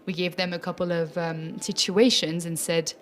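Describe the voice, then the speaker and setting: A woman's voice: on the low side, flat delivery, normal speed, a little hiss or hum. A Swiss French mezzo-soprano, a native French speaker, talking with enthusiasm in an interview about her opera workshops for children, laughing often. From French-speaking Switzerland. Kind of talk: festival interview.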